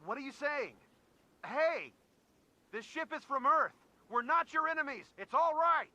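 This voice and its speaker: An actor playing an earnest, hot-blooded young spacefarer in a video game, calling out urgently. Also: male voice, very high-pitched, expressive, unhurried, sharp tone, dull audio, exaggerated voice. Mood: urgent, reassuring. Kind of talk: video game cutscene acting.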